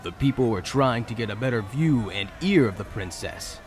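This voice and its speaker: A man's voice: mid-range voice, animated, normal speed. A man narrating a fantasy story in a dramatic, scripted storytelling style. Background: soft music.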